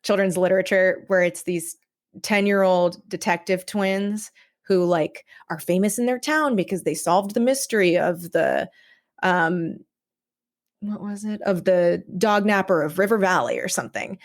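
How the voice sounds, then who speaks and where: A woman talking casually as a podcast guest about her own creative work, in a halting, informal way. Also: lowish voice. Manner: halting, informal, frequent "like" and "kind of". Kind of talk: casual chat.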